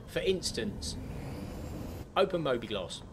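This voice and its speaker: Man with lively, swooping tutorial delivery. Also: mid-range voice, slow, slight background noise. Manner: chatty, step-by-step instructing. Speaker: gaming tutorial host. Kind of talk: casual screen-share software tutorial. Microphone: desktop microphone, voice-over of screen capture.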